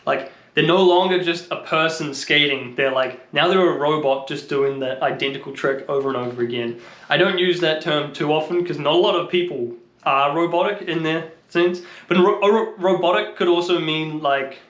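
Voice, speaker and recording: A man's voice: a little high, fairly bright tone. A man giving a casual, unscripted explainer as an instructor, thinking aloud in an informal, slightly hesitant way. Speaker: longboarding instructor and video host. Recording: roomy, echoing sound.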